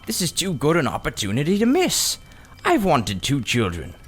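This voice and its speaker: Man playing a sly, oily old gentleman magician. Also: on the high side, wildly swooping pitch, breathy edge, pitched above the performer's own voice, heavily acted. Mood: coolly insistent. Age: older. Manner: unctuous, drawn-out, cunning politeness. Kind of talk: children's storybook character reading.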